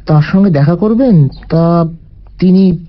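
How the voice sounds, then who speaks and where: An actor playing a flustered, evasive grown son in an audio story, hesitant and nervously over-explaining. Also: male voice, high-pitched, takes it slowly, lo-fi sound.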